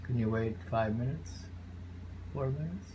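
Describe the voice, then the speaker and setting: Male poetry reader, unhurried and musing, casual asides between haiku, audible noise on a homemade recording. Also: medium pitch, dull audio. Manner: deliberate, pausing, musing between poems.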